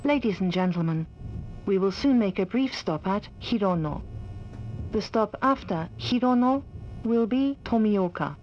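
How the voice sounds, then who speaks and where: Female onboard announcer, slow and formal, medium pitch, polite scripted read, slightly dull recording. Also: animated. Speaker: train announcer. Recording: dull sound with faint background noise.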